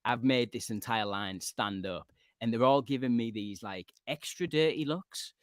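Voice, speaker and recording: A man hosts a film podcast and tells his cinema stories in a chatty, rambling way with plenty of fillers. His voice sits at a middling pitch, and the recording is dry and close with no room sound. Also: measured pace, clear, resonant tone, no room sound. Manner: chatty, filler-heavy, animated storytelling. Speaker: podcast host. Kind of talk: casual chat on a live stream.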